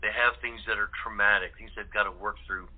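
A man's voice: fairly low pitch, fairly even intonation, fast, slightly rough. A man speaking as an interview guest, casual and earnest, rambling and often repeating words. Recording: tinny phone-like line.

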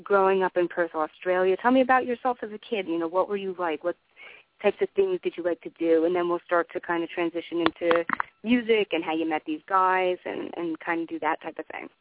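A man hosting an interview, asking chatty questions and adding quick backchannel replies. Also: high voice, no echo. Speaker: interview host. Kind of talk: casual phone interview. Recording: tinny phone line, dry.

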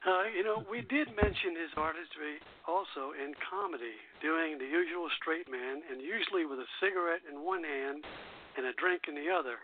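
A man's voice: on the high side, normal speed. A man hosting a radio show, casually introducing clips. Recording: phone-like sound, faint noise.

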